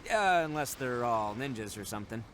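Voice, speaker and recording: A man's voice: medium pitch, expressive, brisk, a little hiss or hum, lightly acted. A man voicing a brash, proud but good-hearted young gang boss in a video game, cocky, blustering and informal. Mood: musing. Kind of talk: video game character voice acting. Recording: game music loud behind voice.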